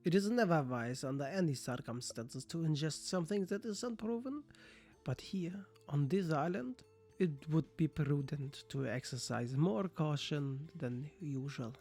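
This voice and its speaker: A person voicing an energetic older male professor in a visual novel, measured, cautious and instructive. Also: mid-range voice. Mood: stern, cautioning. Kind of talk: visual novel character read-aloud.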